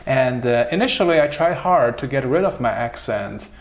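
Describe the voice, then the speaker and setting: A man's voice: mid-range voice, animated, normal speed. A man from China speaking English with a Chinese accent, hosting an interview in a thoughtful, hesitant way. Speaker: interviewer, host. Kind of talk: conversational interview.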